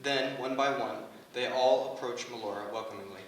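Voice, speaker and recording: A man's voice: medium pitch, moderate pace, close to an everyday voice. A man reading stage directions as scripted narration at a staged reading of a play. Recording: very echoey room, distant, faint noise.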